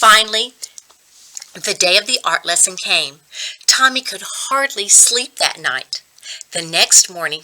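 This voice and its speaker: A woman reading a children's story aloud as a reading coach, deliberate and expressive. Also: fairly low pitch, rough recording.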